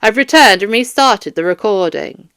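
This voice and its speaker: A woman voicing a stern police detective in an audiobook, questioning a suspect in a firm, formal, measured way. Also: medium pitch, lively intonation, fairly bright tone, clean tone, no echo, studio quality. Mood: firm, official.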